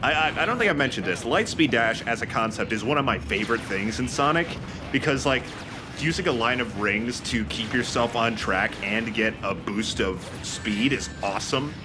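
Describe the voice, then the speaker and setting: A man talks through a video game in an enthusiastic, rambling, casual way, like a let's play host among friends. Game music plays clearly behind his mid-pitched voice. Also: lively intonation, moderate pace. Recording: voice over loud game music. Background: game music and sound effects.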